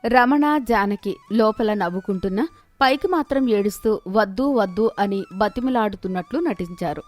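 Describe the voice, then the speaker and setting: A woman storyteller giving an expressive scripted narration of a moral tale. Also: mid-range voice, moderate pace, soft background music.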